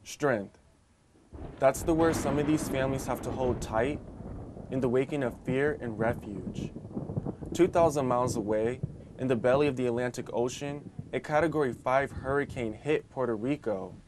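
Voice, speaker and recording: A male reporter delivers scripted news narration in a measured, dramatic way. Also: medium pitch, noisy background. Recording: music bed underneath.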